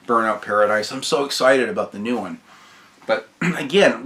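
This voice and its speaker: A man with a hoarse voice giving a casual, enthusiastic, rambling review of his game collection, often clearing his throat. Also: mid-range voice, sharp tone. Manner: enthusiastic, rambling, repeats praise.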